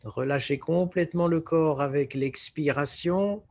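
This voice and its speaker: A man with a slightly high-pitched voice leads a guided relaxation. His delivery is very slow and calm, with short, measured phrases set apart by pauses, as a yoga nidra teacher would give them. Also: vocal fry. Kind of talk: guided relaxation meditation instruction.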